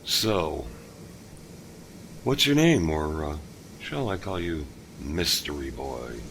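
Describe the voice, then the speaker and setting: An actor playing a kind, lonely older father who lost his son, speaking gently with halting pauses. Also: a bass voice, animated, creaky, a little hiss or hum, full character voice. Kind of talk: audio drama acting.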